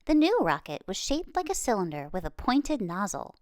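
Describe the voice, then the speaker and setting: A woman reading a children's story aloud expressively, with dramatic pauses and emphasis. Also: medium pitch, sing-song, dry recording, studio quality. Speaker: children's book reader.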